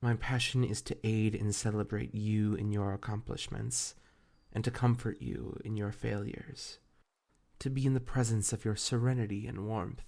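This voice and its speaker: A man acts out an age-old fey spirit bound as a familiar in a fantasy audio roleplay. The voice sits fairly low with a heavy creak. The phrasing is courtly and measured, full of tender devotion. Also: fairly even intonation, darkish tone. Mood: earnest, devoted. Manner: formal, archaic, measured diction. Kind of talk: intimate roleplay audio acting.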